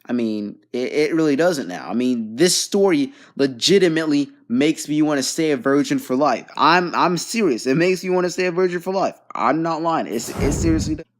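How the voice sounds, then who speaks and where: A man's voice: on the high side, expressive, moderate pace, few pauses. Rambling man commenting on news. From Bangladesh. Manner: rambling, loose, lots of fillers and restarts. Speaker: host.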